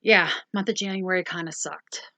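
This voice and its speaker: A woman's voice: lowish voice, steady tone, moderate pace, sharp tone, no echo. A woman hosting a casual doll review, rambling along with lots of ums and self-corrections. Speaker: toy review channel host.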